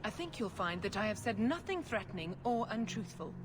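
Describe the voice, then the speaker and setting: An actress voicing a scheming, zealous priestess in a video game, with a formal, controlled and sanctimonious manner. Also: female voice, medium pitch, sing-song, fairly quick, over music, lightly acted. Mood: cold, smug. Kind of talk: dramatic video game acting. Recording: loud ambient noise behind.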